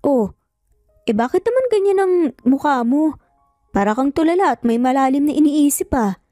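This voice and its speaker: An encouraging, cheerful big sister as a woman narrator plays her in an audio story. She questions eagerly in a high, lifted voice, with music underneath. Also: expressive, moderate pace, warm tone, no echo, clean studio sound. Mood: puzzled, curious.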